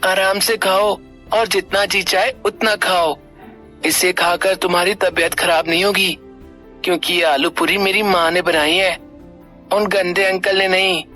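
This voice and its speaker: A woman's voice: lowish voice, fairly even intonation, unhurried, sharp tone, soft background music. A woman voicing an innocent, hungry little boy in a cartoon moral story. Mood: cheerful.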